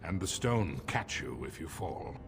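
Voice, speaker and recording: A man's voice: low voice, sing-song, a little slow, vocal fry, exaggerated voice. An actor playing a stern old dwarf king in a fantasy game, solemn and commanding. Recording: echoing hall, ambient game noise.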